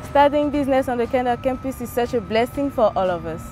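A young woman from Ivory Coast reads a campus report in an upbeat way. Her voice is a bit high. Music plays clearly under her. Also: animated, fast talker. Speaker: student reporter. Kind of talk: scripted narration.

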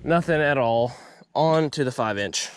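A man's voice: medium pitch, lively intonation, unhurried, slight background noise. A young man, chatting upbeat to viewers while fishing from a small boat outdoors, recorded on an action camera. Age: young adult. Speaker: fishing vlogger. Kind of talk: casual vlog commentary.

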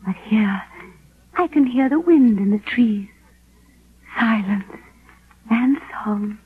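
A woman's voice: on the high side, expressive, slow pace, husky, heavily acted. An actress playing a devoted, earnest young woman in love, tender and theatrical in 1940s radio style. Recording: muffled, narrow vintage 1946 radio recording.

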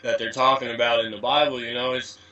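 Bright-voiced man, distant from the mic. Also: medium pitch, level tone, quick pace, muffled recording. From USA. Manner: rambling, many "you know" fillers, wordplay explanations. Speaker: guest. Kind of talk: casual conversational interview.

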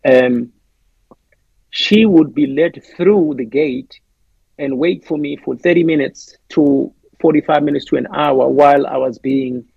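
A man's voice: on the high side, measured pace, vocal fry, a little mellow, slightly rough, dry recording, lo-fi sound. A man with a South African accent, speaking as an interview guest in a thoughtful, hesitant way with many restarts. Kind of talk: reflective interview talk.

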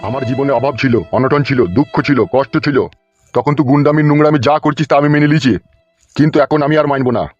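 A solemn, aggrieved father played by a man lays down the law firmly in a cartoon. His mid-pitched voice sits in a dry, dull recording with music behind it. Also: brisk, close to an everyday voice. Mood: stern. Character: stern, aggrieved father of a gangster son. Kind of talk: comic cartoon drama dialogue.

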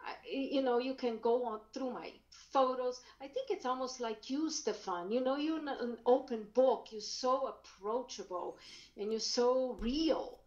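A woman's voice: on the high side, unhurried, a little mellow, muffled recording. A woman from Brazil whose first language is German, a painter chatting upbeat as a podcast guest. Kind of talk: interview conversation.

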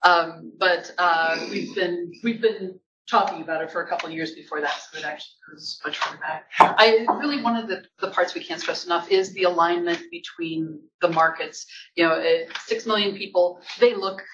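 A man's voice: sits high, moderate pace, slight background noise. A man hosting an industry association event, talking conversationally with frequent restarts. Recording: dull lo-fi event audio.

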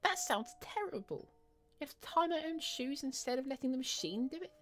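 A woman's voice: high voice, animated, a little fast, pitched above the performer's own voice. A woman voicing a spoiled, cold and defiant young boy in a dramatic audio story.

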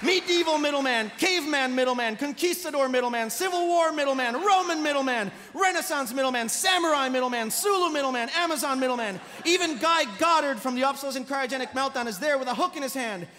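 A man's voice: very high voice, fast, hardly any pauses, bright, forceful tone. A man reading dramatic stage directions aloud at a live table read in a convention hall. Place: large indoor convention panel room. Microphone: table microphone. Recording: noisy echoey convention hall panel.

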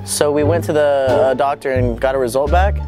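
A young man chats in a slangy, deadpan way, as if on a phone call in a big store. Music plays clearly behind his casual talk. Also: mid-range voice, brisk. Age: young adult. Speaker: prank video host. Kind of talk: casual prank chat, staged phone calls. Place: large busy retail store.

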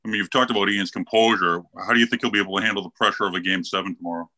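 Casting: male reporter, lowish voice, very fast, sharp and forceful delivery. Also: steady tone.

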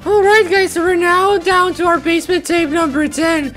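Very high-voiced woman hosting a let's play. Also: little pitch movement, normal speed, over music. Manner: exclamatory, swearing, jokey. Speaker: gaming video host. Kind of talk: casual let's play commentary, partly playing along in character.

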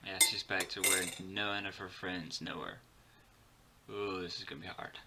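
A man's voice: low-pitched, steady tone, measured pace, heavy background noise. A young man giving casual reaction commentary in brief asides. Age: young adult. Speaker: reaction video host. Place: small indoor bedroom.

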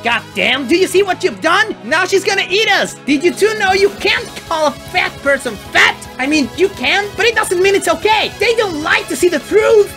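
A woman's voice: very high-pitched, animated, normal speed, few pauses, fairly bright tone, faint music behind. A woman voicing a bossy, exasperated young shrine maiden with a sarcastic, scolding manner in a parody cartoon. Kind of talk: animated parody cartoon acting.

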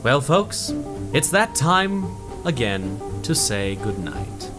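An actor playing a genial old-time radio show host with a measured, announcer-like manner. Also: male voice, mid-range voice, sing-song, over music. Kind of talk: 1930s radio announcer acting.

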